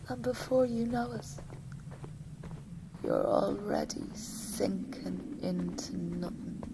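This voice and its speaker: Slow-speaking anxious young mother. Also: medium pitch, noisy background. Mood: anguished. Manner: hushed, breathy inner thoughts broken by spoken-aloud outbursts. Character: anxious working-class young Liverpool mother fearing her husband drowned. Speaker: actor in a solo audio play. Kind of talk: intimate dramatic monologue.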